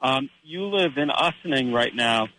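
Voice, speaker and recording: An American man hosting a radio interview, speaking haltingly with many pauses. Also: medium pitch, lively intonation, sharp tone, a little hiss or hum, low-quality recording. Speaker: radio host.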